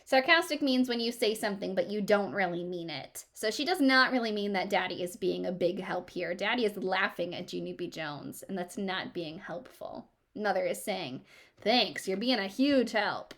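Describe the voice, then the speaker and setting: A woman reading aloud to children as a teacher, expressive, pausing to ask questions and explain things simply. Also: mid-range voice.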